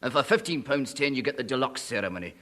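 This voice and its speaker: An actor playing a brusque village blacksmith with a Scottish accent in a 1950s British radio comedy. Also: male voice, medium pitch, sing-song, quick pace, a bit bright. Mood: businesslike.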